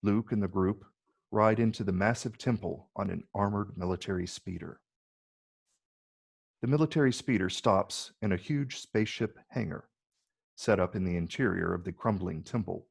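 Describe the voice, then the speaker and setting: Brief: male narrator for screenplay directions, low voice with husky grain, measured delivery. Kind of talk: scripted narration.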